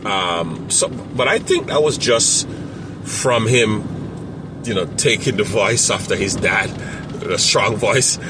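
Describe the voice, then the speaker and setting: Low-voiced man chatting over background noise. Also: slow pace. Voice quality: strong loud voice. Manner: rambling, friendly, many fillers and restarts. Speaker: parent coach and vlogger. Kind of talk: casual talk to camera.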